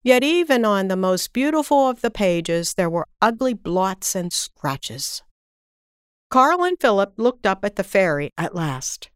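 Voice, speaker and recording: A woman narrating a scripted children's story in a careful, expressive storytelling manner. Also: mid-range voice, unhurried, clear, resonant tone, no echo, clean studio sound. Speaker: story reader.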